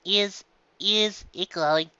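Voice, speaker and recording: A performer voicing a cheerful, gentle mother pony mare with a bright, exclaiming manner in a story reading. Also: mid-range voice, slow, clear, resonant tone, no echo, muffled recording, voice pushed up. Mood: puzzled.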